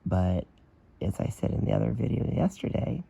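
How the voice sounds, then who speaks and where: A man with a hoarse, damaged voice giving blunt, opinionated commentary in a casual video. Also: fairly low pitch, expressive, moderate pace, warm tone. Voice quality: damaged, strained voice. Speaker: vlogger.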